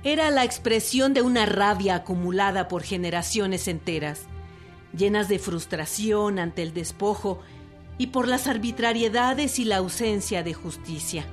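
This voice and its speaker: A woman's voice: mid-range voice, measured pace. A woman with a Mexican accent reading scripted documentary narration. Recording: music behind the voice. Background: music.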